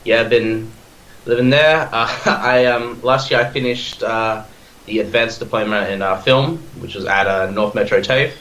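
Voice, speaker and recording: A man's voice: lowish voice, normal speed, sharp tone, reverberant, rough recording. A young man in his twenties with an Australian accent, talking as a podcast guest in a rambling, eager way full of fillers and restarts. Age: 20s. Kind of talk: casual chat.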